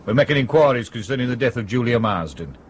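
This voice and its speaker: A man's voice: medium pitch, expressive, fast talker, a little hiss or hum, natural voice. A man acting a blunt, sardonic police detective sergeant in a radio drama, with brusque, colloquial speech. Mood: businesslike, probing.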